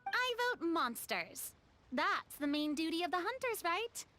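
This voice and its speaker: An actress playing a high-spirited, slightly dramatic young woman with an emphatic manner. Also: very animated, unhurried, bright, forceful tone, heavily acted. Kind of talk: video game voice acting.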